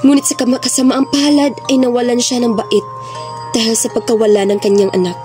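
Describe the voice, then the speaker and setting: Woman acting earnest, higher-voiced activist comrade. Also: level tone, brisk, over music, lightly acted. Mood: sober, earnest. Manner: formal, earnest. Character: concerned, composed activist comrade introducing a newcomer. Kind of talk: amateur radio drama acting.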